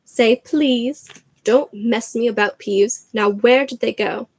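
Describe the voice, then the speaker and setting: A woman's voice: on the high side, slow, dry recording. A woman voicing a grumpy older male school caretaker who demands answers. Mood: demanding. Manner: demanding, impatient.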